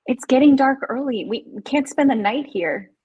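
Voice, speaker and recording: A woman's voice: sits high, moderate pace, a little mellow, husky, rough recording. A woman playing a fatalistic, sardonic survivor in a live table read of a horror script. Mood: urgent. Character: fatalistic, sardonic woman stranded with a killer nearby.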